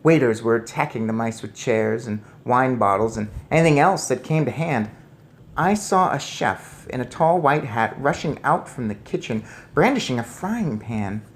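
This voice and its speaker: A man's voice: medium pitch, animated, moderate pace. A man narrating a children's story expressively as he reads aloud. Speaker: storybook reader.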